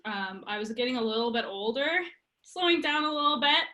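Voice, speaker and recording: A woman's voice: a little high, lively intonation, fairly quick, pushed and bright. A woman in her thirties with a Prince Edward Island accent, a youth soccer coach chatting casually and laughing often in an interview. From Prince Edward Island, Canada. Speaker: girls' program coach.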